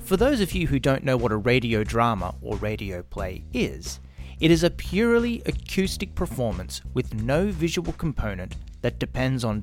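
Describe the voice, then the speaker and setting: A middle-aged man with an Australian accent, reading scripted promotional narration as a podcast host. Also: medium pitch. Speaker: podcast host and drama workshop creator. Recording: music behind the voice. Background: music.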